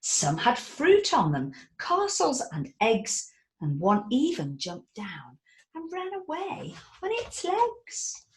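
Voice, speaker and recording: Author reading her picture book aloud, slow and dramatic, whispers and gasps, roomy echo. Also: high voice. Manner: expressive, sing-song, with dramatic whispers and gasps.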